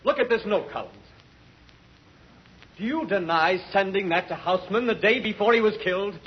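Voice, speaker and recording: A man's voice: sits high, expressive, normal speed. A man playing an insistent, indignant district attorney in an old radio drama, speaking in a declamatory, combative way. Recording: muffled, noisy vintage radio recording.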